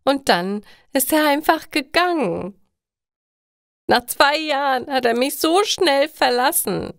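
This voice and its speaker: Woman acting a high-pitched heartbroken young woman, wailing. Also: lively intonation, takes it slowly, no room sound, studio quality, full character voice. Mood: heartbroken despair. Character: heartbroken, tearful young woman left by her boyfriend.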